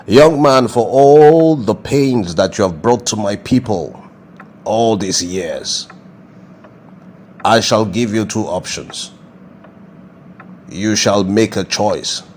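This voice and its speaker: A man's voice: lowish voice, slow, pauses often, a little mellow, slight background noise. A man acting as a stern, pompous king in a comedy skit, speaking in a ceremonious, formal way. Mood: solemn, stern. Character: stern, grandly formal Nigerian king passing judgment.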